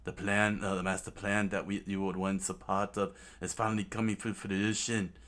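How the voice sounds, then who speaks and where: A man's voice: lowish voice, little pitch movement, moderate pace, fairly bright tone, close to an everyday voice. A man voicing a smug, scheming middle-aged male villain who drawls his lines in a cold, gloating tone.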